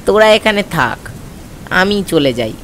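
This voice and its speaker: Homely village shopkeeper for animation, male actor: unhurried, slightly high, courteous, faint storm noise around him. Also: sing-song, a bit bright, full character voice. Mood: polite. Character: homely village grocer, married, heading home. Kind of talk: cartoon dialogue.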